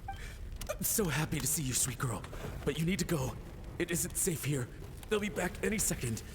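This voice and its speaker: A man playing a gentle, indulgent, easygoing father in a video game. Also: on the high side, lively intonation, moderate pace, heavy background noise, lightly acted. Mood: tender, urgent. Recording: long reverb, clear background music.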